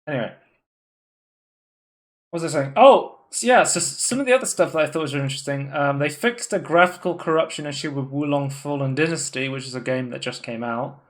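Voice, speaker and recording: A man's voice: fairly high pitch, fairly quick. A man co-hosting a live gaming podcast, chatting casually and rambling through tech news with lots of fillers and restarts. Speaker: podcast co-host. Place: home room, live stream.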